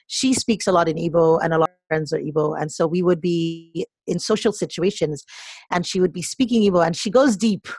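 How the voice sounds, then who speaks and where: A middle-aged woman, a coach and podcast guest, telling stories in an animated way and acting out other people's voices. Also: fairly low pitch, fairly quick, husky, no room sound. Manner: animated storyteller, acts out voices. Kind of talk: conversational podcast storytelling.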